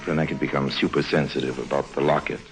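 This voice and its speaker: An actor playing a suave, scheming swindler in a 1950s radio drama, urbane and smoothly insinuating as he talks. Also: extremely low pitch, little pitch movement, quick pace. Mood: sly, confiding. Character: suave middle-aged scheming swindler, smooth charmer and narrator. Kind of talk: 1950s radio drama acting, wry confidential narration. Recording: vintage radio recording with faint music.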